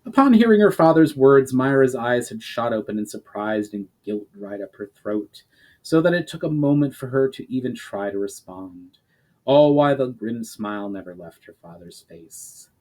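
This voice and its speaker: A man narrating a fantasy novel as a dramatic reading, in an expressive, deliberate storytelling manner. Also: mid-range voice, rough recording. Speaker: author reading his own book.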